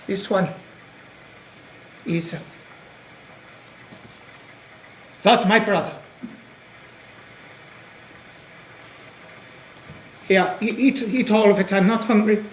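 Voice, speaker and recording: A man playing a gentle, courteous German prisoner in a stage play, speaking politely and haltingly. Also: sits high, close to an everyday voice. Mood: hesitant. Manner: polite, halting, soft-hearted. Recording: echoey stage recording, clear background noise.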